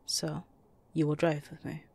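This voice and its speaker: A woman's voice: sits low, level tone, a little slow, gravelly, a little mellow, breathy edge, heavily acted. A woman playing a stern, suspicious, bossy office enforcer in an audio roleplay, speaking curtly and with command. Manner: curt, commanding, guarded.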